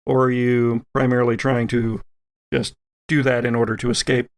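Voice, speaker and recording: A man's voice: medium pitch, level tone, normal speed. A man acting as game master, narrating a tabletop role-playing game dramatically with lingering, descriptive phrasing.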